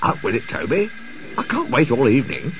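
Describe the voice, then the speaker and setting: A man voicing a boastful, impatient male engine in a storybook, brisk in manner. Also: mid-range voice, wildly swooping pitch, gravelly, pitched above the performer's own voice. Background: music.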